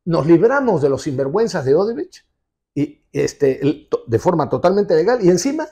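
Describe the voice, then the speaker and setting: A man's voice: on the high side, sing-song, normal speed, mellow, slightly rough. A man in his sixties, a television host, giving emphatic and insistent political commentary in an office, recorded on a lapel mic. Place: indoor office with leather sofa.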